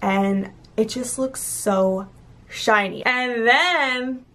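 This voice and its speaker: A young woman chatting excitedly in a casual vlog, filming herself at arm's length, with a slightly raspy voice. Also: fairly high pitch, drawn-out words. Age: young adult. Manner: bubbly and gushing. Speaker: lifestyle vlogger. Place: car and city streets. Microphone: camera at arm's length.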